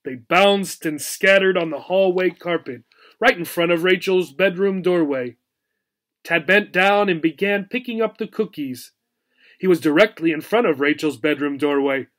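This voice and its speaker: A man narrating a children's story as an audiobook reader, in an expressive storytelling manner. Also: sits high, normal speed.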